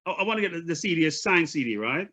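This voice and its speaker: Bright-voiced Jamaican man, rambling chat. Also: fairly high pitch, lively intonation, racing, slight background noise. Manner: rambling, repeats himself, friendly. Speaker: show host. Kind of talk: casual chat on a video call. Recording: dull lo-fi call audio.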